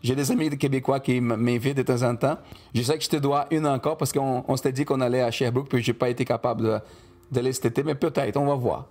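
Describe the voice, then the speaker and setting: A man's voice: medium pitch, fairly even intonation, quick pace, a little mellow. A man, a Portuguese speaker, chatting casually as a podcast co-host. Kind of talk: casual chat.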